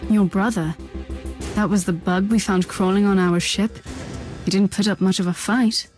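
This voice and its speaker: Game heroine: actress as defiant, solemn young woman, bookish, medium pitch, dramatic score underneath. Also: fairly even intonation, normal speed, darkish tone, soft background music, natural voice. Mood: cool defiance. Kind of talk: dramatic video game acting.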